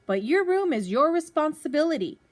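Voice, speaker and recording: Exasperated mom of a messy boy, firm and high-pitched played by a woman reading a picture book. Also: big pitch swings, a little fast. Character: exasperated mother scolding her messy young son.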